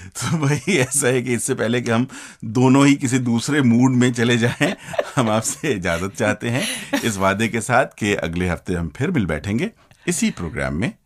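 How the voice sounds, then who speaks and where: A man's voice: medium pitch, expressive, normal speed. A man with an Indian accent co-hosting a radio magazine show, addressing listeners politely and conversationally.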